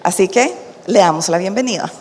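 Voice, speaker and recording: A woman emcees a conference, briskly announcing and introducing the next speaker and filling pauses with "este." Her voice sits at an ordinary pitch for a woman. The hall gives her a long, lingering reverb. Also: a little mellow, slight background noise. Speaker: event host.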